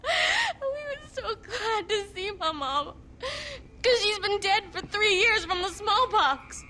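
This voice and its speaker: A woman's voice: very high voice, very animated, slow pace, sharp tone, a little hiss or hum, heavily acted. A woman playing a terrified orphaned young girl in a western video game, crying as she talks. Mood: distraught, tearful. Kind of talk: video game cutscene acting.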